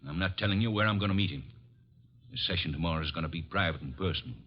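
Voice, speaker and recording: A deep American male voice playing a big, grim, stubborn man out for revenge. It comes through a muffled old radio recording, in the style of 1940s crime drama. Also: racing, husky. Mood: grim defiance.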